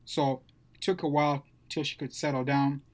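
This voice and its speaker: A man's voice: fairly high pitch, level tone, normal speed. Young man from Zimbabwe, slangy and casual. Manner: casual slang, addresses friends as bro. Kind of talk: casual storytelling chat.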